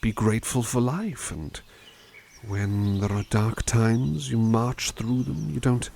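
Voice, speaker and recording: A man playing a gentle, kindly butler who softly reads poems aloud in an ASMR roleplay and muses thoughtfully on each one. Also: mid-range voice, takes it slowly, creaky, a little hiss or hum. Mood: musing. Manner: soft, musing, thoughtful asides between poems. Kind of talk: ASMR roleplay poetry reading.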